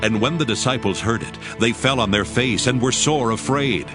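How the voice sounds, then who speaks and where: A man narrating dramatized scripture in a measured, formal storytelling manner. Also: mid-range voice. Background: music.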